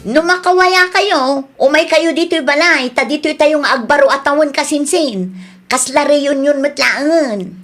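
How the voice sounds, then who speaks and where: A woman playing an earnest, expressive family mother in a radio drama, with an Ilocano accent. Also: high voice, measured pace, faint music behind. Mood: hopeful.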